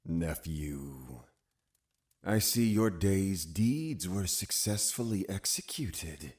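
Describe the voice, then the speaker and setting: An actor playing a stern, scheming older magistrate, pious and condescending, in a period audio drama. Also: male voice, deep voice, expressive, slow, darkish tone. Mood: curt, satisfied. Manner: formal, commanding, condescending.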